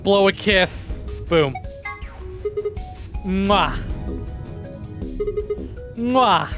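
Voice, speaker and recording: A man's voice: high voice, animated, drawn-out words, over music. A man live-streaming a visual novel, reading lines aloud theatrically and adding playful, joking commentary. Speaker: game streamer.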